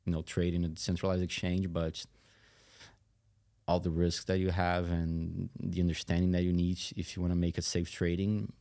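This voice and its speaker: Male podcast guest, low and dark monotone, thoughtful conversational delivery. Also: normal speed. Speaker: podcast guest, tech company chief executive. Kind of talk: conversational interview.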